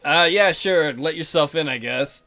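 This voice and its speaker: A man's voice: a little high, moderate pace, bright, forceful tone, faint music behind. A man voicing an awkward, blunt, laid-back young man from a visual novel. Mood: reluctant, resigned.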